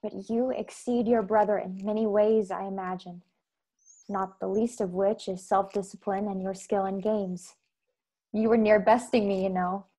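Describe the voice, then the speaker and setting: A woman in her twenties playing an earnest, proud secret-society member in a science-fiction radio play, speaking in measured, formal phrases. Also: medium pitch, level tone, dark tone, close to an everyday voice. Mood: thoughtful. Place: bedroom on video call. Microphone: earbud headset.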